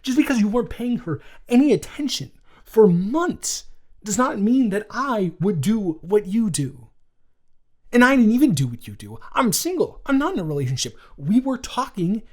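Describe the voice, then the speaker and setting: A man playing a young best friend, indignant yet gentle, in an improvised intimate audio roleplay with halting, emotional, natural speech. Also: sits high, big pitch swings. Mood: angry, indignant.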